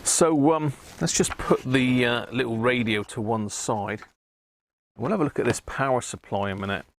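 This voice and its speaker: A man with an English accent and a gravelly voice, hosting a hobby electronics live stream in a chatty, rambling way, thinking aloud as he works. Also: mid-range voice, normal speed. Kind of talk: casual live-stream chat while working at a bench.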